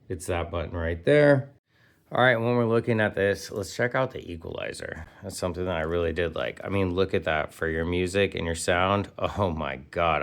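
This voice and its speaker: Low-pitched man, enthusiastic casual gadget reviewer. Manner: enthusiastic, informal slang, punchy interjections. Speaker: product reviewer and host.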